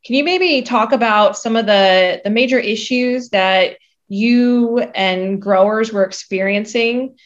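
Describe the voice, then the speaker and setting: A woman's voice: fairly high pitch, a little slow. Chatty young woman, dull lo-fi sound. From Colorado, raised in Florida. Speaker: extension interviewer. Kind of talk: interview hosting.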